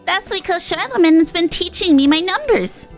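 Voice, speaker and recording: A woman's voice: very high-pitched, expressive, moderate pace, faint music behind, pitched above the performer's own voice. A woman voicing an eager, sweet young girl in a video game, earnest and childlike. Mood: proud, cheerful. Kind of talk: amateur game dialogue read-aloud.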